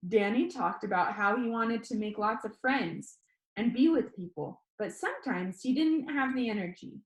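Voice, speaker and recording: A woman narrates a children's novel in the first person. Her voice sits high and moves in lively, expressive swings, as in read-aloud storytelling. The room adds a noticeable echo. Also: normal speed.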